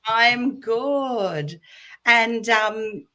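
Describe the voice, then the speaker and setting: A woman's voice: a little high, drawn-out words, sharp tone. A woman from Australia hosting a casual online interview, chatty and friendly, with plenty of fillers. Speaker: host.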